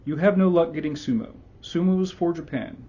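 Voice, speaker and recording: A man's voice: a little high, brisk, dark tone, breathy edge. A man doing a curt foreign journalist. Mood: dismissive. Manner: clipped broken English, dismissive. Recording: dull lo-fi sound.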